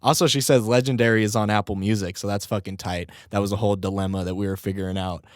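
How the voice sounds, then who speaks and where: A man's voice: fairly low pitch, steady tone, fast, dry recording, clean studio sound. A young man hosting his own podcast in a rambling, casual way, swearing and laughing at himself, his voice still a little strained. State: voice recovering, slightly strained. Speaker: podcast host and rapper. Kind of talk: casual solo podcast chat.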